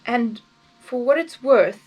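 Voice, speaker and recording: A woman's voice: on the high side, expressive, slow pace, breathy edge, lo-fi sound, soft background music, heavily acted. A woman putting on a showy, doting male chaos spirit. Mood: grand. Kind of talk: fan fiction reading.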